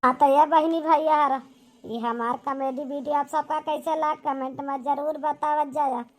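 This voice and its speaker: A woman's voice: sits high, steady tone, normal speed. A woman with an Awadhi accent, a cartoon video creator speaking directly to viewers in an outro. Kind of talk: channel outro addressed to viewers.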